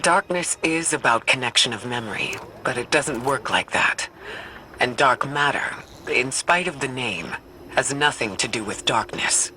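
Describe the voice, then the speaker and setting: Brainy explorer in a game, measured n precise, mid pitch, bit of background noise. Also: male voice, lively intonation, bright, forceful tone. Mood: composed, analytical. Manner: measured, precise, matter-of-fact. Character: analytical, composed scholarly male explorer. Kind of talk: video game dialogue.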